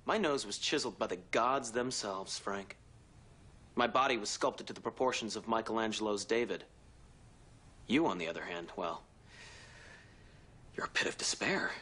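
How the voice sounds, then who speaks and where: A man playing a vain, menacing narcissist in a sitcom, with a creepy voice, grandiose and deliberately dramatic in delivery. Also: fairly low pitch, bright, forceful tone. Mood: cold contempt. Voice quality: creepy voice, slipping into breathy whispers. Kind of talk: sitcom monologue acting.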